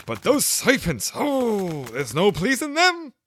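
A man voicing an agitated, fussy female pigeon guarding her nest, who scolds loudly. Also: huge pitch swings, takes it slowly, husky, exaggerated voice. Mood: scolding. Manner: shrill, scolding, loud.

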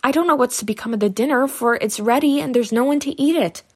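A woman's voice: high voice, fast talker, husky, voice pushed up. A woman reading aloud, voicing a worried young housemaid who frets and sighs. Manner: worried, sighing.

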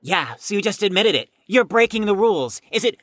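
A woman's voice: mid-range voice, expressive, fairly quick, no room sound, clean studio sound, close to an everyday voice. A woman playing a prim, haughty young know-it-all in an audio drama, pompous, precise and wordy. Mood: indignant, smug.

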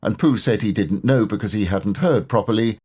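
A man's voice: fairly low pitch, brisk, a little mellow, breathy edge. A man reading a children's storybook aloud as an audiobook narrator.

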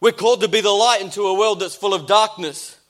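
New Zealand accent, man, pastor, sermon, high-pitched, bright forceful tone. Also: a little fast. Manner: conversational preaching with jokes and emphatic repetition.

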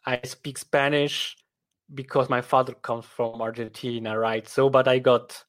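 Slow, halting Swiss German man. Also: mid-range voice, level tone, a bit bright. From Switzerland. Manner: halting, with frequent restarts and "right?" tags. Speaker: podcast guest. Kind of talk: casual interview chat.

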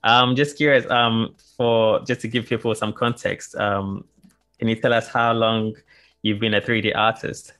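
A man's voice: lowish voice, little pitch movement, unhurried, a bit bright. A man hosting a podcast interview, speaking hesitantly with repeated words and fillers. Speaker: podcast host.

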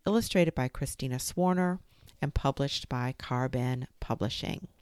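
A woman with an unusually deep voice reads a children's history book aloud as the host of a storytime show. Her tone is gentle and careful, with a gravelly edge. The recording is close and dry, with no room sound. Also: dry recording. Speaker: storytime reader.

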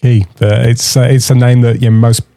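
A male podcast host with a dark, mellow voice, recorded close and dry with no room sound. He chats in an agreeable way, with plenty of fillers, and turns his points into questions for his guest. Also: mid-range voice, little pitch movement, brisk, no room sound. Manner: agreeable, uses tag questions, many fillers. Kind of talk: podcast conversation.

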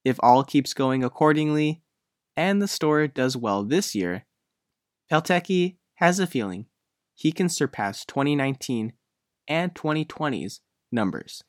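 Lively-pitched man reading a news feature. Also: measured pace, dry recording, clean studio sound. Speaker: reporter. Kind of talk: scripted news feature narration.

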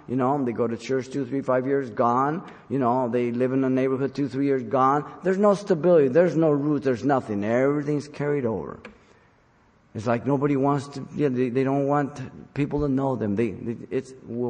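An older man delivers a sermon to his congregation in an earnest, exhorting manner. He speaks at a medium pitch and repeats phrases to drive them home. His voice rings with the long reverb of a large hall. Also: darkish tone. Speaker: pastor preaching to his congregation. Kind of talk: sermon with scripture reading.

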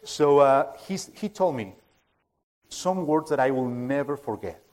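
Halting preacher with a Spanish accent. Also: male voice, medium pitch, expressive, a little mellow. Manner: halting and self-joking, many fillers and restarts. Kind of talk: informal sermon to a congregation. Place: church hall with a full audience.

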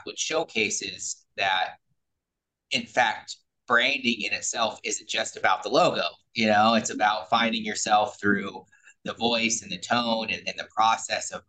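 Man, podcast host, bright forceful voice, slightly low pitch, wordy, conversational, rephrases often. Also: poor audio. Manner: wordy, repeats and rephrases as he goes. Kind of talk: conversational interviewing.

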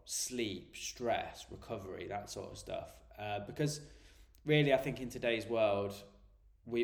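A man with a lowish voice and a stuffy nose from a cold, talking through a solo podcast episode as a health coach. He explains things in a relaxed, informal way, and the room gives his voice a noticeable echo.

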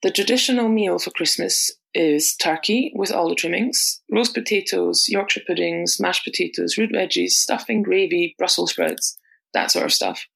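Brief: woman, heavy gravelly fry, steady read-aloud contributor message. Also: on the low side, moderate pace, poor audio. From Austria. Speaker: podcast contributor. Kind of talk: scripted voice message.

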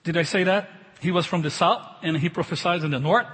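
A middle-aged male pastor delivers a sermon in accented, non-native English. His voice is high and husky, and he speaks emphatically but haltingly, doubling back on phrases. It rings with long reverb in a large hall. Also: fairly bright tone. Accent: foreign. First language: not English. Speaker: pastor preaching.

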